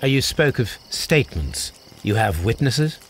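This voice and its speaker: An actor playing a composed, courteous Victorian gentleman detective with an English accent, questioning politely in a video game. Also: mid-range voice, very animated, unhurried, slight background noise. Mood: probing, courteous. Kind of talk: scripted video game acting.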